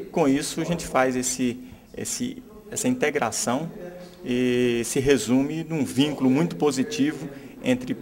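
A man in his thirties earnestly explains his club's work into a handheld microphone. He speaks from an indoor club room at a level, mid pitch. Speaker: youth football club official. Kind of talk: interview statement. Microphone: handheld interviewer mic.

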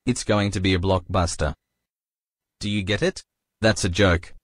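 Low-voiced man hosting a tutorial. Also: steady tone, normal speed, no room sound, studio quality. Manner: self-deprecating, plain delivery. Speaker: host. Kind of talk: casual joking narration.

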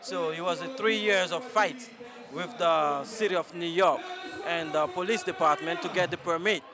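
A man's voice: on the high side, steady tone, normal speed. A man, answering interview questions outdoors as an event organizer. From Côte d'Ivoire. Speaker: parade organizer. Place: outdoor park amphitheater. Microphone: handheld interview microphone. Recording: crowd noise behind.

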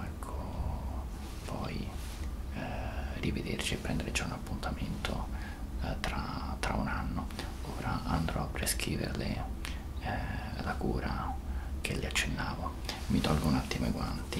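A man plays a doctor in a soft ASMR roleplay, his voice extremely low and thick with creak. He talks slowly and hesitantly, in a reassuring, half-whispered murmur. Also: big pitch swings. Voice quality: breathy, whispery.

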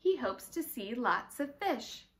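A young woman reading a picture book aloud to small children in an expressive, dramatic way. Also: a little high, takes it slowly, a little hiss or hum. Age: young adult. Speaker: children's story time reader. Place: living room indoors, by a brick fireplace.

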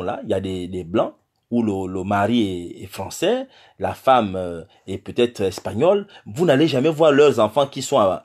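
A man's voice: medium pitch, breathy edge. Emphatic Gabonese man lecturing into his phone. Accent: Gabonese French. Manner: emphatic and lecturing, with many repetitions and rhetorical questions. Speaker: community speaker addressing the diaspora. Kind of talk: impassioned direct-to-camera message. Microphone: phone held close in selfie framing.

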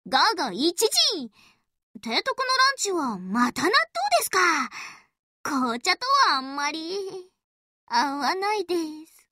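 A woman's voice: sits high, very animated, slow pace, bright, forceful tone, no echo, studio quality, full character voice. A woman voicing a bubbly, doting battleship girl for an anime game, peppy and exclaiming, with sprinkled with English words. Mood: cheerful, excited. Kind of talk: anime game character voice lines, hourly announcements.

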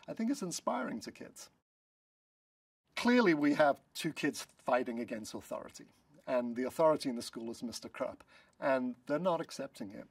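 Middle-aged man, relaxed producer interview, dry room, mic a bit far off. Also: mid-range voice, no room sound. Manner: relaxed, chatty, frequent ums and uhs. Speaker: film producer. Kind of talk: press junket interview. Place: studio in front of a blue backdrop.

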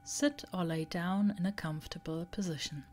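A woman reading a guided meditation, giving slow, deliberate instructions in short phrases. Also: fairly low pitch, steady tone, darkish tone, a little hiss or hum. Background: relaxing background music.

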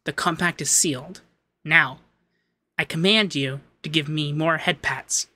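A man as a haughty, bright-voiced femboy demon lord. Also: fairly high pitch, unhurried, full character voice. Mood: imperious. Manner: pompous commands that slip into flustered cooing. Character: haughty, flustered young femboy demon lord, secretly soft. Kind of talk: ASMR roleplay.

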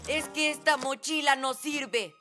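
High-voiced, eager schoolboy. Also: female voice, fairly even intonation, a little slow, pushed and bright. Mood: stubborn, eager. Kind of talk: cartoon dub acting. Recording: music behind the voice.

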